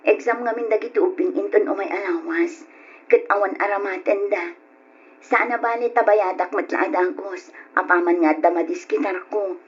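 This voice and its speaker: A woman's voice: mid-range voice, normal speed, husky, lo-fi sound. A woman with an Ilocano accent playing a poor, worn-down, pleading mother in an old radio drama. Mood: pleading, anxious.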